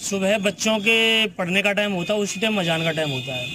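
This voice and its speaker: A man's voice: high voice, a little fast, sharp tone, a little hiss or hum. A local man answering a news reporter's question outdoors. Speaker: local interviewee. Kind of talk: vox pop answer to a reporter. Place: outdoors on location.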